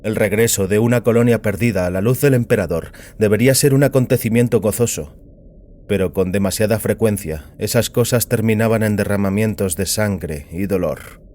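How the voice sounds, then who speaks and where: A man's voice: on the low side, moderate pace, darkish tone. A man giving a scripted narration of a science fiction audiobook. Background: music.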